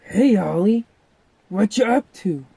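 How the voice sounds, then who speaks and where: Casting: grumbly but kindly puppet sidekick, acted out, husky, slow drawl, dry close sound. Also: medium pitch, lively intonation, warm tone, no echo, heavily acted. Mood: curious, caring. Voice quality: raspy, creaky. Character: wisecracking, grumbling, kindly packrat puppet pal. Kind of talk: children's puppet show voice acting.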